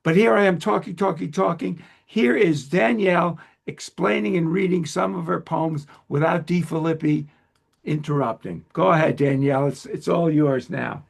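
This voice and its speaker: A man in his seventies hosting a casual interview, talking in a rambling, halting and self-deprecating way. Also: fairly high pitch, vocal fry. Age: 70s. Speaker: host interviewer.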